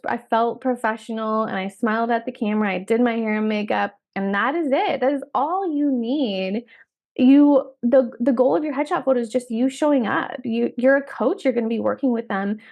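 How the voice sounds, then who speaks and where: Woman podcast host, 30s, a little hoarse with a cold, gravelly edge, upbeat and direct. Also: fairly high pitch, darkish tone. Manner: upbeat, direct coaching talk with restarts. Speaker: podcast host and career coach trainer. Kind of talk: solo podcast talk.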